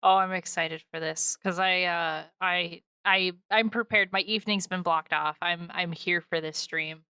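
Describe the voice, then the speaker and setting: Bright, clear woman chatting excitedly. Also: fairly low pitch, measured pace, no echo. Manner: gushing, informal, rambling. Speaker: podcast host. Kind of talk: casual podcast chat.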